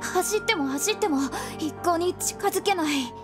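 A woman playing a cheeky but caring young boy companion in a voice drama, boyish, casual and expressive. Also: very high-pitched, unhurried, over music. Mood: panicked. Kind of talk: Japanese voice drama acting.

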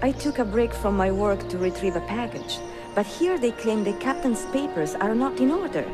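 An actress playing an educated, passionate young Italian bookseller in a video game, articulate and earnest, with an Italian accent. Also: female voice, a little high, expressive, moderate pace, big-room sound, loud music behind the voice, close to an everyday voice. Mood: weary. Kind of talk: video game cutscene acting.

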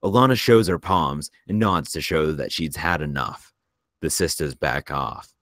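Man, very low voice, scripted narration, screenplay stage directions, close dry sound. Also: a little slow, a bit bright, no echo.

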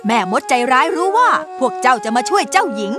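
Cartoon wizard-messenger: high-pitched, declaiming, authoritative, swooping intonation over clear music. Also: female voice, sing-song, brisk. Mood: announcing. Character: magical little sage, commanding, theatrical messenger of princess. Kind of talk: children's cartoon voice acting. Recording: music and jingles behind.

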